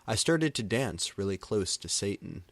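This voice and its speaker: A man narrating comic fan fiction as a deadpan dramatic reading. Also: fairly low pitch, moderate pace, dry recording.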